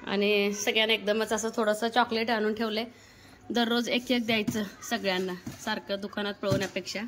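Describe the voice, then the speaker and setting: A woman's voice: medium pitch, little pitch movement, a little fast, a bit bright. A woman with a rural Maharashtrian accent narrating a casual home vlog, chatting and giving instructions to children and family. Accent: rural Maharashtrian Marathi. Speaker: family vlogger. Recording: clear background noise, home recording.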